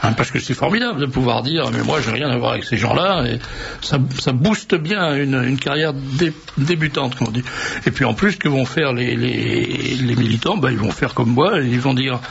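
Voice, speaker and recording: A gravelly-voiced man hosting a radio show, wry and digressive, full of hesitations. The sound is dull and lo-fi with a faint hiss, as from an old broadcast. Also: medium pitch, moderate pace. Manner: wry, digressive, many fillers. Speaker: radio host. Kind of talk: talk radio chat. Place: radio studio.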